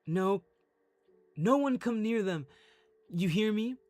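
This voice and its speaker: A man's voice: sits high, animated, slow pace, a little mellow, no room sound, pitched above the performer's own voice. A man voicing a foul-mouthed, possessive young man in a dramatic audio roleplay, aggressive and commanding. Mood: desperate, possessive.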